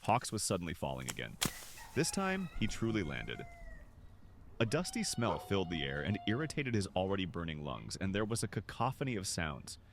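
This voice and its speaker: Deep, expressive male story narrator. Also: moderate pace, vocal fry, noisy background. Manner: expressive, dramatic. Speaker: narrator and voice actor. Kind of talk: scripted dramatic story narration.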